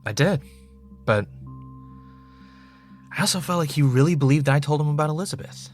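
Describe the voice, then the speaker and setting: A man's voice: on the high side, moderate pace, a little mellow, faint music behind, natural voice. A man playing an anxious, sarcastic investigator in an audio drama. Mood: troubled, earnest.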